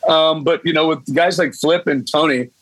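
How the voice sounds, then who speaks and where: A man's voice: on the high side, fairly even intonation, normal speed, fairly bright tone, dry recording, rough recording. A man, a band singer, telling stories in a laid-back, easygoing way as a guest on a video call. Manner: laid-back, easygoing storyteller, casual swearing. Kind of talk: casual video-call interview.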